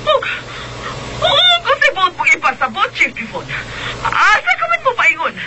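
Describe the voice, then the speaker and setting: High-pitched, desperate lady senator. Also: huge pitch swings, a little slow, heavily acted. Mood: anxious, pleading. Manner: melodramatic, volatile. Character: desperate, bossy corrupt woman senator. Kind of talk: radio drama acting in Cebuano. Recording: noisy radio drama, music bed.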